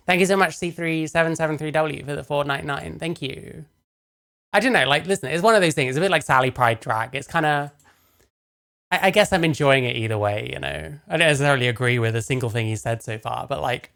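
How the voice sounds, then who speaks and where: A man's voice: medium pitch, vocal fry, fairly bright tone. A man doing casual live stream commentary, talking in a rambling, sarcastic, sweary way. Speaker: streamer reacting to a video.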